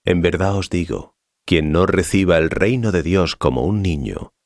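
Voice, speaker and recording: An actor playing a solemn, authoritative male teacher in a dramatized scripture reading, speaking in a measured, didactic way. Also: low-pitched, creaky, darkish tone, no echo, studio quality. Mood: solemn authority.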